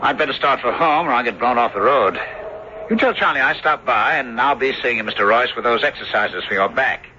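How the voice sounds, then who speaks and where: An actor playing a rational, skeptical country doctor who reasons firmly and narrates in old-time radio drama style. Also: male voice, medium pitch, animated, fairly quick, sharp tone. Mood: courteous, reassuring. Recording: muffled, noisy old radio drama recording.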